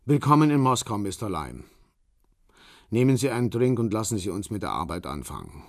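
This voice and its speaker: An actor playing a shrewd, businesslike Russian man in a radio crime drama, speaking in a formal, measured way like someone giving a briefing. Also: on the low side, sing-song, dry recording, studio quality. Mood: hospitable, brisk.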